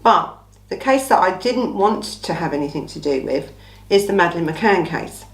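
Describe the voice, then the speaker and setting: A woman from England hosting a casual true crime Q&A, her voice croaky from a cold, talking in a blunt, chatty, opinionated way. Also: on the low side, expressive, normal speed, reverberant, distant mic. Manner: chatty, blunt, opinionated, says "listen" often. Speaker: true crime channel host. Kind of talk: casual Q&A monologue.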